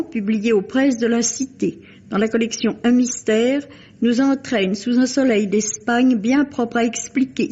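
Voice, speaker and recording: A woman's voice: a little high, mellow, slightly rough. A woman reading a scripted literary book review on the radio in formal, carefully phrased language. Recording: muffled lo-fi vintage radio recording.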